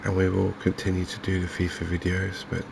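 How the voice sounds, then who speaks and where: A man with an English accent giving soft-spoken, hesitant, unhurried gameplay commentary in an ASMR style. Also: low-pitched. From England. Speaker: gaming video host. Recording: clear steady background noise.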